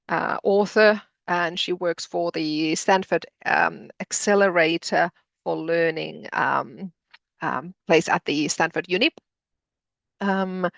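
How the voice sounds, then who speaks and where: A woman with a Finnish accent giving a webinar presentation, hesitant with many pauses and restarts. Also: fairly low pitch, vocal fry, fairly bright tone, no echo. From Finland.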